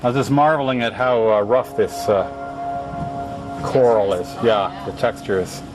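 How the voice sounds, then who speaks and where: A man's voice: fairly low pitch, unhurried, music in the background. A man giving casual, musing commentary for a travel vlog while out on a coastal track. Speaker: travel vlogger. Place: outdoors on a rocky coastal track. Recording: noisy outdoor audio, surf and wind-like ambience.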